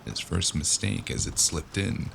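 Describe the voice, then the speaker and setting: A man playing a sardonic, world-weary cyberpunk investigator in an audio drama, narrating in first person with a gravelly, wry delivery. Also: low-pitched, animated, measured pace, fairly bright tone, slight background noise, full character voice. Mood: wry, tense narration. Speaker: narrator and lead character.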